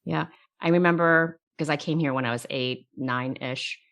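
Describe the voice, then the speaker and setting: A woman's voice: sits low, normal speed, a bit bright. A woman hosting an interview, upbeat and friendly as she introduces her guest and asks questions. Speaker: interview host. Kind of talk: hosted interview.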